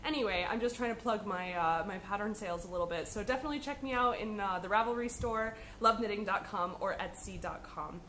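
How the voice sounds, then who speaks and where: A woman's voice: medium pitch, fairly quick, hardly any pauses, fairly bright tone, a little hiss or hum. A woman hosting a podcast, chatting casually to camera in an upbeat, rambling way, slightly sniffly from a cold. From United States. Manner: chatty and upbeat with frequent ums. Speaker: podcast host.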